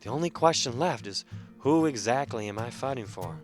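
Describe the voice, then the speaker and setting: A man plays a masked superhero recovering from injury in a radio drama. He is wary but earnest, with a mid-pitched voice and dramatic, questioning delivery over faint music. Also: lively intonation, moderate pace, natural voice. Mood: pensive.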